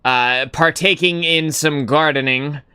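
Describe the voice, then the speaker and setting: A man's voice: a little high, a little slow, sharp tone, smooth. A young man hosting a casual live gaming stream, rambling and swearing casually as he greets chat.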